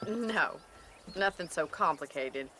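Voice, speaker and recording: An actress playing a hardy, earnest American rancher's daughter of the Old West, frank and practical, in a video game. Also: female voice, fairly low pitch, animated, slow, pushed and bright, full character voice, poor audio. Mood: earnest, concerned. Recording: outdoor animal and hoofbeat noise behind.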